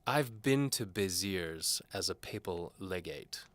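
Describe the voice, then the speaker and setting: A man's voice: low-pitched, expressive, slow pace, a bit bright, dry recording, voice pushed up, exaggerated voice. A man playing a zealous, pompous older medieval bishop who speaks in a didactic, commanding way in an audio drama. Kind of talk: audio drama character acting.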